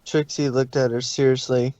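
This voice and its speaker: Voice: mid-range voice, level tone, normal speed, warm tone, dry recording, lo-fi sound. A narrator reading scripted fan fiction in short descriptive passages.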